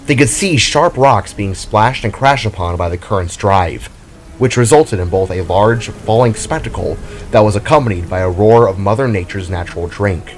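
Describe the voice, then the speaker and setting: A man's voice: lowish voice, animated, normal speed. A man reading scripted, dramatic fantasy narration as a storyteller, with rain-like ambience behind him.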